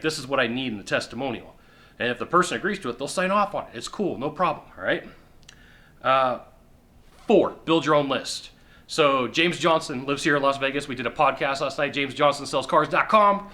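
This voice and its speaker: A middle-aged man giving sales advice straight to the camera from a sofa indoors, emphatic and repetitive, with quick acted-out asides. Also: medium pitch, pushed and bright. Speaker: sales coach. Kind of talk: direct-to-camera advice talk. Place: indoor living room on a sofa.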